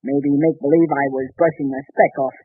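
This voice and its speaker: An actor playing a bumbling, excitable young husband in a 1930s radio comedy, stammering and boyishly eager. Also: male voice, fairly high pitch, moderate pace, slightly rough, no room sound. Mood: showing off. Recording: tinny, muffled old 1930s radio recording.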